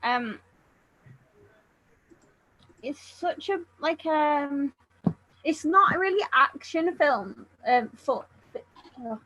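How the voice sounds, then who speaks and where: A woman chatting casually about books in a halting, giggly way. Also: high voice, expressive, sharp tone, lo-fi sound, a little hiss or hum. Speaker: book readalong participant. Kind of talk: casual book discussion chat.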